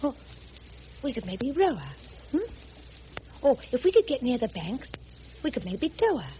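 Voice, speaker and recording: On a muffled radio drama recording with clear background noise, a genteel, devoted Englishwoman. Her voice sits fairly high and her English accent is proper. She speaks earnestly, gentle yet determined. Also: very animated, moderate pace. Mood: resolute. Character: devoted, determined, genteel woman adventurer, gentle but stubborn. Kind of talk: BBC radio drama acting. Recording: dull, noisy old radio recording.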